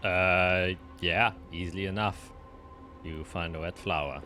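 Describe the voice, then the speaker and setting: A man's voice: low-pitched, steady tone, unhurried, sharp tone, soft background music. A man improvising narration as game master of a tabletop fantasy game.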